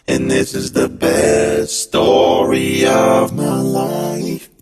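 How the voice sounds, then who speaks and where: A man telling a personal anecdote through a voice effect that lowers his pitch, with music playing behind him. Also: very animated, very slow, creaky. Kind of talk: personal anecdote, slow and drawn-out. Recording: loud music over processed voice. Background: loud music.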